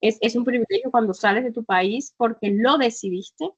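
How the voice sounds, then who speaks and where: A woman's voice: fairly high pitch, normal speed, slightly rough, dull audio. A woman guest in an interview, talking reflectively with frequent fillers and restarts. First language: Spanish. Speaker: guest, travel entrepreneur. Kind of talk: conversational interview.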